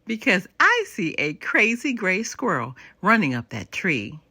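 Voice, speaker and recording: A woman voicing an excitable, proud little boy in a children's storybook, eager and exclaiming. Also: mid-range voice, a little slow, breathy edge, dry recording, studio quality, exaggerated voice. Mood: curious. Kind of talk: children's storybook read-aloud.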